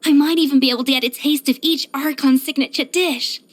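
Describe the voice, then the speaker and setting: An actress voicing a proud, exacting young pastry chef in a video game, poised, self-assured and precise in manner. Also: female voice, high-pitched, steady tone, a little fast, lightly acted. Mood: poised, confident. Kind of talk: scripted video game character voice lines.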